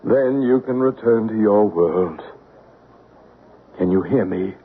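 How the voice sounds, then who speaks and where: A solemn seance leader. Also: male voice, lowish voice, slightly rough. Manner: solemn, measured, hushed. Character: calm man leading a seance, narrating. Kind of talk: 1970s radio drama acting. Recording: tinny 1970s radio broadcast with faint music.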